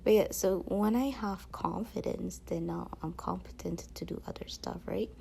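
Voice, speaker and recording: A woman's voice: lowish voice, fairly even intonation, normal speed, vocal fry, mellow, husky. A woman vlogger chatting casually to her viewers in a rambling, slangy way. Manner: rambling, peppered with slang and filler phrases.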